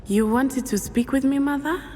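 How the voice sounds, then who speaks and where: A woman's voice: high-pitched, moderate pace, mellow, in a large hall. A woman playing an earnest, independent-minded schoolgirl witch in a game, articulate. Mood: frustrated, pleading. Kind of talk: game cutscene dialogue.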